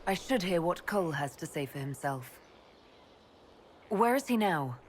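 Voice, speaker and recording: An actress playing a composed, compassionate elf mage leader in a video game, speaking in a measured, firm way. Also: female voice, on the low side, lively intonation, slight background noise. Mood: questioning. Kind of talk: video game character acting.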